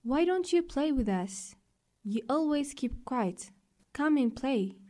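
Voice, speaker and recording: A woman's voice: sits high, animated, slow pace, background noise, pitched above the performer's own voice. A woman reading as a teasing storybook cat. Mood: coaxing.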